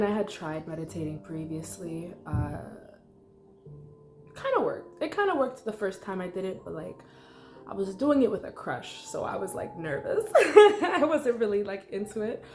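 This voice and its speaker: A woman's voice: fairly low pitch, a little mellow. A congested young woman talking casually through a meditation, rambling with lots of likes and ums. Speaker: meditation vlogger. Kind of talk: casual unscripted guided meditation talk. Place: her apartment. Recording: echoey room with faint music.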